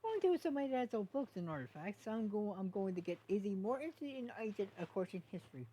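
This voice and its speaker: A woman's voice: medium pitch, sing-song, quick pace, dark tone, slight background noise, pitched above the performer's own voice, natural voice, poor audio. A woman voicing a friendly, loyal young female pony in a fan story, earnest and chatty.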